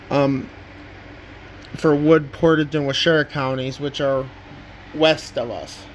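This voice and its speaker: A man giving a casual home video walkthrough as a hobbyist, haltingly, often trailing off and repeating words, recorded indoors on a handheld camera. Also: a little high. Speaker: hobbyist showing a weather radio collection. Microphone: handheld camera microphone. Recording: steady background noise, handheld home camera.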